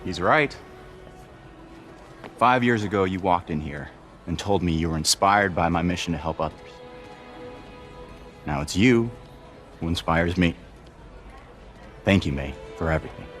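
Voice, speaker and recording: A man playing a gracious middle-aged charity leader in a video game, speaking in a gentle, measured, sincere way. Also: deep voice, soft background music. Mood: grateful.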